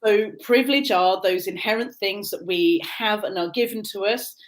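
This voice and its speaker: British woman, mid-pitch, earnest explainer, conversational, video-call sound. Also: moderate pace, poor audio. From Britain. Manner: earnest, explanatory, frequent restarts. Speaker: guest, neurodiversity coach. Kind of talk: conversational interview answers.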